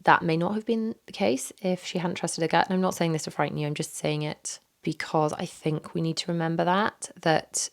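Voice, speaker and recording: A hoarse woman in her thirties talks straight into a microphone in her home office, rambling candidly with plenty of fillers. Her voice sits low and rough with a gravelly fry, and she sounds worn out from being ill. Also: fairly even intonation, fairly quick, few pauses. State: hoarse, recovering from illness. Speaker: podcast host. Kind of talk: casual solo podcast chat. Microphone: studio microphone.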